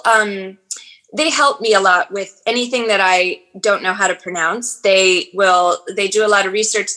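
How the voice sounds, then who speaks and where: A woman's voice: mid-range voice, poor audio. Woman, forties, audiobook narrator, chatty, casual interview talk, restarts. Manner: chatty, warm, frequent fillers and restarts.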